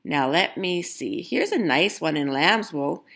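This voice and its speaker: A woman voicing an unhurried, smooth-talking male menswear salesman with deliberate, drawn-out sales patter. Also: sits low, lively intonation, a bit bright, full character voice. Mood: persuasive.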